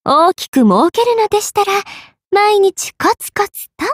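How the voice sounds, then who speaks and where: A woman's voice: extremely high pitch, very animated, a little slow, dry recording, studio quality, exaggerated voice. A woman voicing a playful, money-loving young half-spirit merchant sorceress in an anime game, with a teasing, polite lilt. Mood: cheerful, businesslike. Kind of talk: Japanese anime game character voice acting.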